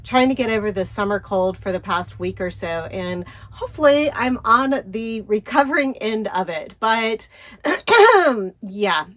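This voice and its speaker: Stuffy, hoarse woman vlogging chattily. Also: on the high side, lively intonation, unhurried. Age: middle-aged. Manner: chatty, upbeat, with little laughs. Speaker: travel vlogger. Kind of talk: casual shopping wish-list vlog.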